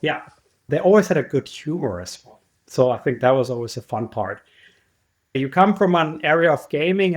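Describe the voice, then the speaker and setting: A man hosting a podcast, interviewing a guest in a conversational way. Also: mid-range voice. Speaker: podcast host.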